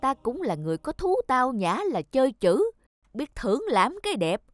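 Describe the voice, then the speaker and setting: A woman's voice: high-pitched, sing-song, moderate pace, dry recording. A woman voicing a pompous, short-tempered fat village man in a cartoon, boastful and blustering. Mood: scornful, bossy. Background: music.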